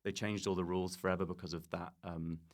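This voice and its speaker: A man's voice: deep voice, level tone, quick pace, creaky. A British man chatting casually as a comedy podcast guest, in a rambling, enthusiastic way.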